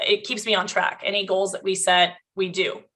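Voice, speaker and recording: A woman's voice: medium pitch, level tone, normal speed, bright, forceful tone. A woman business owner chatting on a video call, her voice slightly worn, restarting sentences and laughing often. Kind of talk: casual interview chat.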